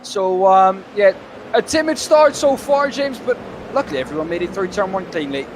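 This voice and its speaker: Man, very high voice, co-commentator, measured and hesitant, faint background noise. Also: lively intonation. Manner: measured, analytical, many fillers. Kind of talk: live race commentary.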